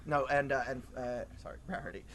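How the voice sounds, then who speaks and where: A man doing casual improvised comedy banter as a sidekick, halting and stammering. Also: medium pitch, expressive, fairly bright tone.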